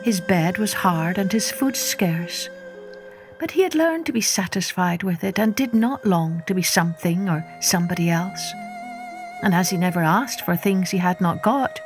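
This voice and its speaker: A woman hosting a storytelling podcast, narrating in a measured, expressive scripted storybook style. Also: fairly low pitch, soft background music.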